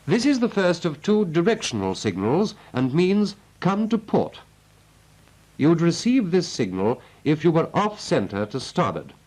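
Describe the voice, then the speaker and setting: A man's voice: fairly high pitch, very animated, unhurried, a little mellow, poor audio. A British man narrating a 1940s military training film as an instructor, in a clipped, methodical and instructive manner.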